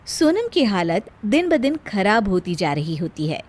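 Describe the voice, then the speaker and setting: A woman's voice: medium pitch, sing-song, a little slow, heavy background noise. A woman narrating a scripted story in the role of storyteller.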